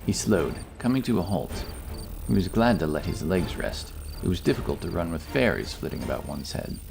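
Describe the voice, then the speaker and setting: Male narrator: very low, animated delivery, measured dramatic fantasy storytelling, music underneath. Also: gravelly.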